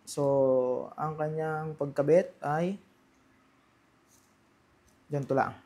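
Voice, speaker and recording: A man with a Filipino accent giving casual, unscripted unboxing commentary, halting and thinking aloud as he handles things. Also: a little high, steady tone, dark tone, dull audio. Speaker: vlogger presenting product unboxing.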